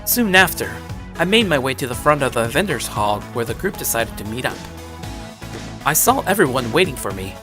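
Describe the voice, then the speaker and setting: A man's voice: mid-range voice, sing-song, normal speed. A man narrating a first-person story in a casual, conversational way, dropping wry asides as he goes. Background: background music.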